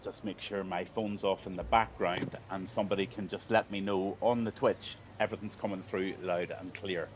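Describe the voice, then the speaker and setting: A man's voice: low voice, steady tone, normal speed, few pauses, background noise. A middle-aged man commentating a sim racing league on a live stream, chatty and friendly, his voice a little strained. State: slightly strained voice.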